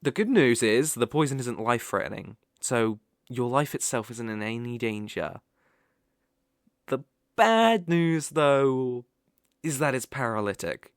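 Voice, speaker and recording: A British man playing a sly, possessive young male kitsune fox spirit in an ASMR roleplay, soft-spoken and coaxing with playful hesitations. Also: medium pitch, no room sound, clean studio sound. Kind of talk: ASMR roleplay, acted directly to the listener. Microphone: close microphone, dry.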